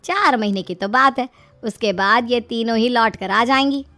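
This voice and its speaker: A woman's voice: fairly high pitch, normal speed, fairly bright tone, close to an everyday voice. A woman voicing a scheming, fretful middle-aged mother-in-law with pleading, emphatic delivery in a comic story. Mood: firm coaxing.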